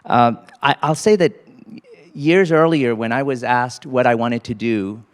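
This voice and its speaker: A man in his forties from Cambodia, an associate dean giving a casual, halting talk to students with many fillers. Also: medium pitch, long reverb tail. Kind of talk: faculty panel talk to students.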